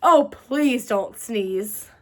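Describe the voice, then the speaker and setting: A woman voicing panicky, pleading storybook birds in a shrill, urgent manner. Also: drawn-out words, darkish tone. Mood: alarmed.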